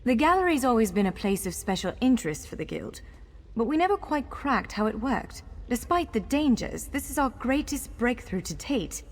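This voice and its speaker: A woman's voice: fairly high pitch, very animated, normal speed. A woman playing a courteous, wry elf scholar guiding someone in a video game, articulate and formal yet playful. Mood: wry, informative. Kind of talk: video game character dialogue. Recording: long hall reverb over music.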